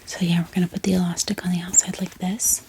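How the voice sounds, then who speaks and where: A woman speaking in a hushed, breathy whisper, gently explaining things in an ASMR style. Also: fairly low pitch, level tone. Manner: soft, hushed, unhurried explaining. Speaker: ASMR book haul host. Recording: clear background hiss, handling clicks.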